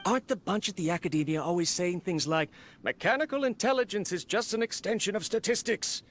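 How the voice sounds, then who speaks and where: A lonely, wistful robot researcher. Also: male voice, sits high, brisk. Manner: wistful, quietly self-mocking, gently scolding. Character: lonely male researcher of mechanical life, talking to his robot. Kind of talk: game voice acting, recorded log.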